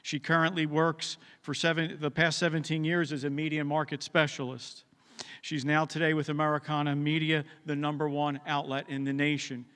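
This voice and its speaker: A man's voice: fairly high pitch, level tone, moderate pace, fairly bright tone, smooth. A man formally introducing the next speaker at a conference. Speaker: event presenter introducing a speaker. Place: large hall at a conference. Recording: reverberant hall, amplified speech.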